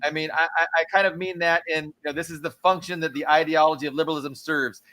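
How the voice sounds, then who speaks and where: A man's voice: a little high, steady tone, fast talker, bright, forceful tone. A man hosting a political discussion, explaining theory in a lecturing tone with long stacked clauses.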